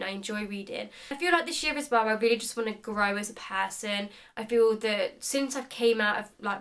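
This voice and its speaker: A woman's voice: fairly high pitch, a bit bright. Chatty young woman vlogging casually. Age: 20s. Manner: chatty and upbeat, many "like" fillers. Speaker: beauty vlogger.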